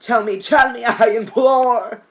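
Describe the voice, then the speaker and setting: Man acting out a haunted, impassioned, high-pitched mourner. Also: steady tone, a little slow, a bit bright, exaggerated voice. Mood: desperate pleading. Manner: theatrical, impassioned outcries. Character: grieving, haunted man driven to anguished frenzy.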